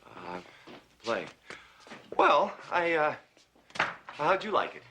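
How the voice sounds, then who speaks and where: A man's voice: fairly high pitch, wildly swooping pitch, slow, noisy background, exaggerated voice. An actor playing a flustered young male hero who hesitates and stammers as he dodges questions, from a TV drama clip. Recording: TV drama clip with music underneath.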